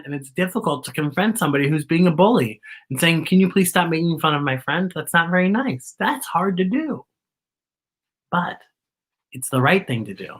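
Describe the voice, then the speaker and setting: A woman's voice: deep voice, fairly bright tone. A woman hosting a children's storytime, reading a picture book aloud with chatty asides and explaining things expressively as if to young children.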